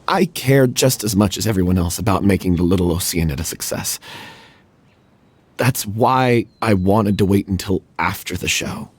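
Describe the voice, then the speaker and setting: An actor playing a nervous, defensive troupe performer who hesitates and stammers, in a video game. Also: male voice, low voice, animated, measured pace, pauses often, slightly rough, full character voice. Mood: troubled. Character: anxious male theater troupe actor, guarded.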